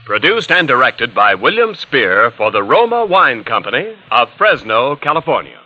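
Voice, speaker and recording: A man's voice: medium pitch, big pitch swings, moderate pace, over music. An American man announcing scripted 1940s radio advertising in a polished, emphatic sales manner. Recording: dull, lo-fi vintage radio recording.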